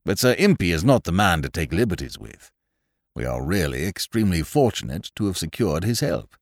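A man's voice: low voice, big pitch swings, a little fast, dry recording, clean studio sound, exaggerated voice. A man voicing a courtly, old-fashioned elderly English solicitor in an audiobook, mild and genial, with an English accent and a habit of repeating his phrases. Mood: excited, admiring. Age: older. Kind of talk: audiobook character voice.

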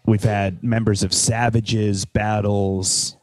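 Rambling Chicago man, low and dry. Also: flat delivery, takes it slowly, dry recording. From Chicago, with Welsh roots. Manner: rambling, many fillers and restarts, enthusiastic. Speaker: interview guest, musician and DJ. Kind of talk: casual interview chat.

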